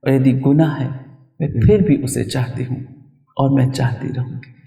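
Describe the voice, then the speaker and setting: A man reciting his own poetry in a dramatic, emotive style, with deliberate delivery. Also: mid-range voice, fairly even intonation, gravelly, dark tone. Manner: emotive, deliberate, with repeated refrains. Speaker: poet reciting own work. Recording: roomy echo, distant microphone.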